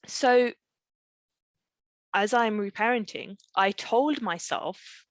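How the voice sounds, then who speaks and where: A woman's voice: medium pitch, slow pace, no room sound, muffled recording. A middle-aged woman coaching in a live online session, explaining through personal stories and often checking in with short questions. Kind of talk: conversational live webinar teaching with personal stories.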